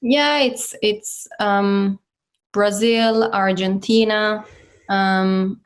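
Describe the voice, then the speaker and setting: A woman's voice: mid-range voice, steady tone, very slow. A woman of origin who grew up in Italy, speaking as a company head in a casual interview, with many fillers and restarts. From Croatian, grew up in Italy. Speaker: guest, company CEO.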